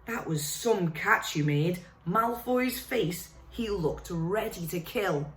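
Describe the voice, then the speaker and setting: A woman's voice: on the low side, expressive, slow, roomy sound. A woman voicing a cheerful, cheeky schoolboy. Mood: gleeful.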